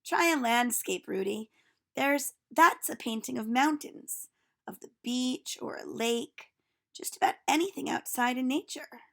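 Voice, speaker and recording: A self-important artist from a children's storybook, played by a woman. He instructs in a haughty, measured way, with a creaky, slow delivery. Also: high voice, fairly bright tone, voice pushed down, exaggerated voice. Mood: instructive. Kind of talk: storybook read-aloud.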